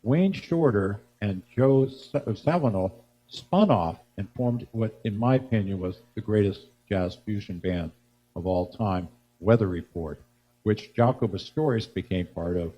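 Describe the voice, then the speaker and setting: An older man hosting a live music show, giving a rambling, halting spoken introduction about music history, pausing to recall details. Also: fairly low pitch, dark tone, poor audio. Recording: noticeable background noise and hum.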